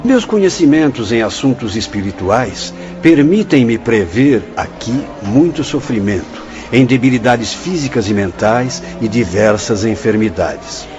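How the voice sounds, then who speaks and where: A man acting as a stern, fatherly spirit director in a radio drama, with a Brazilian accent. He declaims in a formal, solemn way at a middling pitch. The audio is lo-fi, with music playing behind him. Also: expressive, measured pace, few pauses, lightly acted. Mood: grave, instructive. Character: grave, authoritative spirit director of an asylum, fatherly but stern.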